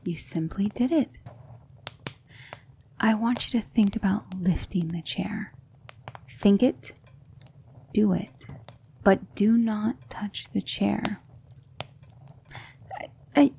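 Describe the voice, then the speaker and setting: A woman playing a patient, composed demon magic teacher in a soft-spoken ASMR roleplay, measured and reassuring. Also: mid-range voice, a little hiss or hum. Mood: patient, firm, then coaxing, instructive. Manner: measured, instructive, reassuring, with hushed breathy dips.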